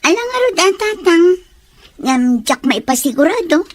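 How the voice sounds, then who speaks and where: A woman's voice: extremely high pitch, big pitch swings, moderate pace, dry recording. A woman voicing a young adult daughter who speaks eagerly and respectfully to her father and cousin.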